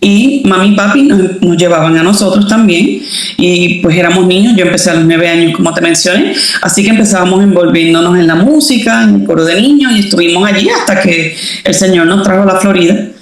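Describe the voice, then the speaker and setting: A woman from Puerto Rico with a Puerto Rican accent, a doctor sharing her faith story as a guest, relaxed and full of fillers. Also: on the low side, a little fast, heavy reverb, low-quality recording. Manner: relaxed, many fillers and restarts. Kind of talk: casual faith testimony.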